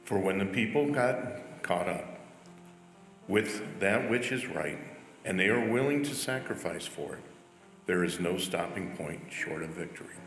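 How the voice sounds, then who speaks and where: A deep male voice reads quotations aloud. The sound is very echoey, with music playing softly underneath. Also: lively intonation, a little slow. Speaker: church member reading.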